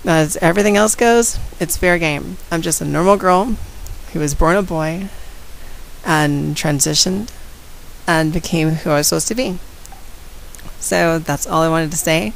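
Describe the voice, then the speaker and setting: Raspy low-voiced woman with a head cold. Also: unhurried, a little hiss or hum, low-quality recording. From San Antonio, Texas. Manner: outspoken, direct, addresses viewers. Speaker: vlogger. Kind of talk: casual talk to camera.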